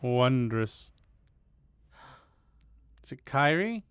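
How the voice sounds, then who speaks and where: A man's voice: mid-range voice, very animated, drawn-out words, clear, resonant tone, no echo. A man doing casual livestream commentary with wry, joking asides. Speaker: streamer.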